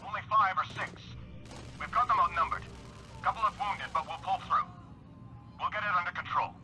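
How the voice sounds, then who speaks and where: An actor playing a tense security guard in a video game, giving clipped reports over a radio under fire. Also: male voice, sits high, moderate pace, sharp tone, soft background music. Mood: tense, urgent. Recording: noisy radio link with gunfire.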